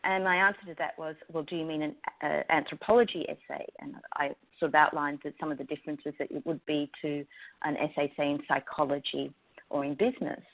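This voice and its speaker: Low-voiced Australian woman lecturing. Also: steady tone, brisk. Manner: thoughtful, frequent ums and restarts. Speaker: university lecturer. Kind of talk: prepared talk to educators. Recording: slightly dull lo-fi recording.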